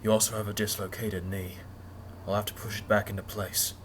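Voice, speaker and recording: Woman putting on a low, protective male refugee. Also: level tone, brisk, a little hiss or hum. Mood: calm. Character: calm, protective male refugee tending the wounded.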